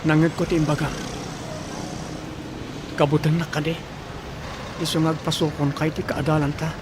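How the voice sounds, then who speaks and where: Guy playing calm protective young ilocano village dude, voice on the high side, radio drama, noisy vehicle background. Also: normal speed, close to an everyday voice. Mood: casual, prodding. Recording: noisy, vehicle hum behind the voice.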